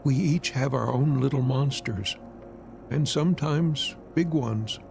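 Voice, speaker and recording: A calm, caring mother, as a man reads her in a visual novel. She is protective and soothing, at an ordinary male pitch with faint music behind. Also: measured pace, creaky. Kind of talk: dramatic reading of a visual novel.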